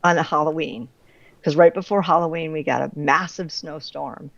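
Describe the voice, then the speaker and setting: A middle-aged woman chatting casually as an author in an interview, animated and quick to laugh. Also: sits low, gravelly, no room sound, muffled recording. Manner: animated, laughs readily through her anecdotes.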